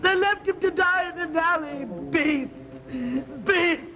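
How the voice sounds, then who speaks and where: Plaintive, blunt widow, muffled recording. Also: female voice, very high voice, steady tone, slow pace, crowd noise. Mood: grieving. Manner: plaintive, blunt, repeats herself. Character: distraught, blunt, suspicious working-class widow. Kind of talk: 1950s anthology drama acting. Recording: muffled, noisy 1950s broadcast recording.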